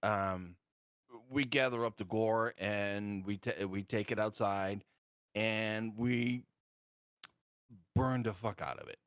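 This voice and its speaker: A man's voice: lowish voice, fairly even intonation, a bit bright, smooth, no room sound. Player, man, casual and sarcastic, stop-start delivery, dry sound. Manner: halting, stuttering restarts, sarcastic. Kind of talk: casual tabletop game chat.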